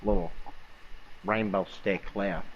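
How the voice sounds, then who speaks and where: A man telling an improvised comic bedtime story in a casual, halting way, often repeating and rephrasing himself. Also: lowish voice. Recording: dull lo-fi audio with faint noise.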